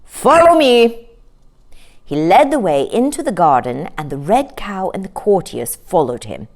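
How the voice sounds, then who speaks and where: A woman storyteller narrating a children's story in an expressive read-aloud style. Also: low-pitched, moderate pace.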